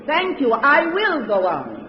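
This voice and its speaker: An echoey, dull vintage radio recording carries a strident woman agitator. She orates pompously at a very high pitch over crowd noise. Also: huge pitch swings, takes it slowly, full character voice. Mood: oratorical, defiant. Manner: oratorical, pompous, insistent. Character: strident, smug female Communist agitator orating at meetings. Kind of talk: 1950s radio drama acting, stump speech.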